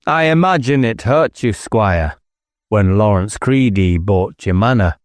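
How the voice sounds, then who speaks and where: A man's voice: on the low side, lively intonation, measured pace, no room sound, clean studio sound. A man voicing a dogged, blunt police inspector in an audiobook, ruthless and insistent, as if always interrogating. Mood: threatening. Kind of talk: audiobook character acting.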